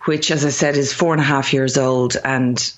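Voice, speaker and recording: A woman's voice: very deep voice, steady tone, normal speed. Irish woman chatting away on an interview, bit muffled, keeps restarting sentences. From Ireland. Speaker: guest, company founder. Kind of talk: podcast interview.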